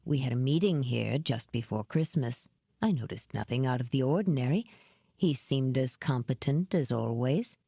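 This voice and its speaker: Woman narrator as older male executive, audiobook character, very low pitch, mellow, courteous, calculating, measured and formal. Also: lively intonation, gravelly, husky, no echo, full character voice. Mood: measured, businesslike. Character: smooth, powerful older businessman, solicitous, calculating and courteous.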